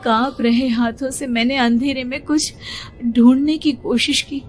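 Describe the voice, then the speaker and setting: A woman's voice: sits high, steady tone, measured pace, a little hiss or hum. A woman narrating a scripted horror story in the first person, dramatic, suspenseful and emotive. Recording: music behind the voice. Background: music.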